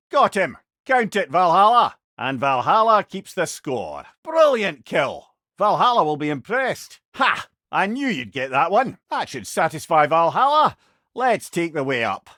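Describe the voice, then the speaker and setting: Game companion: witty older Scottish advisor, loyal and wry, high-pitched for a man, close dry sound. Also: dry recording, full character voice. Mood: approving. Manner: expressive, wry, folksy. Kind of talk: video game voice lines.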